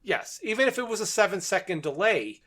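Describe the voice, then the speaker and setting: Man, slightly high voice, podcast co-host, casual recapping, wry amused delivery. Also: very animated, fairly quick, fairly bright tone. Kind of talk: casual book and radio drama discussion.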